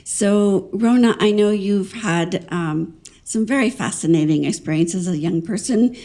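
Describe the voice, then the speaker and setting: A woman's voice: mid-range voice, warm tone. A woman moderating a conference panel, introducing speakers graciously. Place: conference hall.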